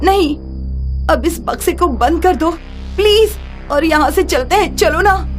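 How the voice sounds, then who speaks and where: A woman's voice: very high-pitched, expressive, measured pace, faint music behind, voice pushed up. A woman voicing a timid, anxious young junior museum worker in a horror story, nervous and pleading. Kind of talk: animated horror story character acting.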